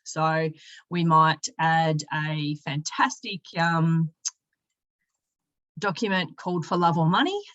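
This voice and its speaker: Woman, lowish voice, conversational software demo presenter talking through each step, slightly dull recording. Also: takes it slowly, long pauses. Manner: explains step by step, thinking aloud while demonstrating. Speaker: software demo presenter, sales and marketing director. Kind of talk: conversational screen-share product tutorial.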